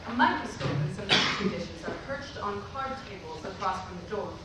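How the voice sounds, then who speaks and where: A woman reads scripted stage directions for a play. Her voice is distant from the microphone, with heavy echo and loud noise over the recording. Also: mid-range voice, lively intonation, moderate pace. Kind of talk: scripted narration. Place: staged reading filmed in a roomy venue. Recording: echoey room, far mic, loud noise.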